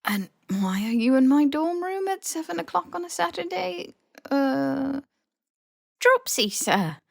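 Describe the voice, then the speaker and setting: Lady reading as a grumpy wry teen wizard boy, storybook dialogue, bit creaky, dry close recording. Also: high-pitched, sing-song, measured pace, no room sound, voice pushed up, full character voice. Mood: groggy, wary. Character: sardonic, grumpy teenage schoolboy wizard hero.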